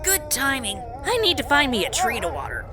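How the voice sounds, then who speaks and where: High, snarky modern heroine. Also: female voice, huge pitch swings, fairly quick, over loud music. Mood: wry. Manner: snarky, exasperated, colloquial. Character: sarcastic modern woman stuck inside an old radio adventure. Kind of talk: comedy audio drama acting.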